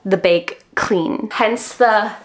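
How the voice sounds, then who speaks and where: A woman's voice: mid-range voice, very slow. A woman, chatting casually to a handheld camera in her apartment, self-deprecating and full of "like" fillers. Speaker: lifestyle vlogger. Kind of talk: casual vlog chat to camera. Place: small apartment room, indoors. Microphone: handheld camera at arm's length.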